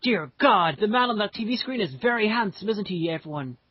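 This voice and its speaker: A woman's voice: mid-range voice, animated, a little fast, husky, no room sound. Woman late 20s rambling chatty commentary, dry dull sound like a call. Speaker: commentary host. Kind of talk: casual group commentary. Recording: dull lo-fi online call audio.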